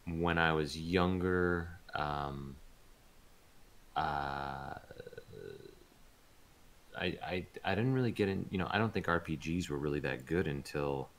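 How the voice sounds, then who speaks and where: Male interview guest, extremely low voice, laid-back, halting conversational delivery. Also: a bit bright, slight background noise. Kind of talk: casual chat.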